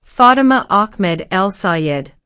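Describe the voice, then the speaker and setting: A woman's voice: medium pitch, expressive, fairly bright tone, clean tone, dry recording. Polite woman from Syria, dry sound. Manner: polite, formal, measured replies. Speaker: citizenship applicant. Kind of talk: scripted interview answers.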